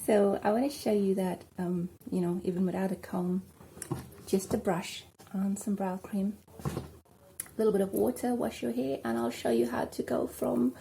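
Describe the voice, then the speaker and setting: A South African woman chatting casually at home while doing her hair, rambling and filling pauses with ums. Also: medium pitch, fairly even intonation, creaky, mellow, slight background noise. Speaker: vlogger. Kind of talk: casual hair tutorial chat.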